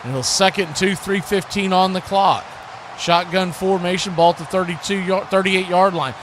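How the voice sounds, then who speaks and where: A man's voice: sits high, steady tone, moderate pace, noisy background. A man hosting a live football stream, mixing play-by-play commentary with casual chat in folksy, colloquial phrasing.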